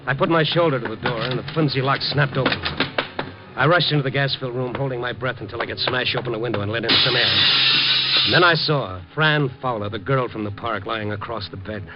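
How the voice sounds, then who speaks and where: An actor playing a streetwise, wry newspaper reporter in a 1950s radio mystery, talking in a hard-boiled, wisecracking way. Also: male voice, medium pitch, brisk, natural voice. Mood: terse, brooding. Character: streetwise, persistent male newspaper reporter narrating his night beat. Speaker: actor and narrator in a radio drama. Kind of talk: 1950s radio mystery acting with first-person narration. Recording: dull vintage 1950s radio recording, music behind.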